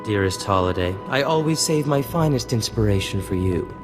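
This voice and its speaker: An actor playing a suave, boastful and flirtatious hacker in a video game, smooth-talking and theatrical. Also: male voice, lowish voice, expressive, moderate pace, music in the background, lightly acted. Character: suave, boastful male slicer, flirtatious and clever. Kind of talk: video game dialogue acting.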